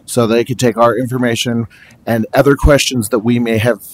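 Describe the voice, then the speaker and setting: A man who is a special education teacher, giving a steady, explanatory presentation to a school board in a large meeting room and often pausing on fillers. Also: medium pitch, a little hiss or hum, low-quality recording. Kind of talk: formal presentation.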